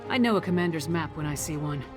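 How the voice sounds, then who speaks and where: A confident warrior woman lays out tactics in a fantasy game, blunt and assured. Music plays clearly behind her no-nonsense delivery. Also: sits low, animated, quick pace. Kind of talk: fantasy video game dialogue.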